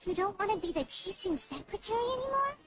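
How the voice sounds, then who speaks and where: A tiny female fairy companion in a video game. The voice is extremely high and squeaky, swinging eagerly up and down in childlike exclamations, with music clearly underneath. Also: lively intonation, fast. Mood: curious, concerned. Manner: squeaky, exclamatory, speaks of self in third person. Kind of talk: English video game voice acting.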